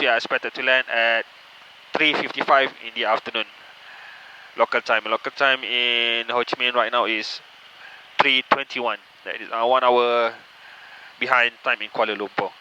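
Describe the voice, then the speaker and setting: A man with a Malaysian accent giving a captain's in-flight announcement in measured, halting phrases. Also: medium pitch. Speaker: airline captain. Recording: muffled PA with faint cabin noise.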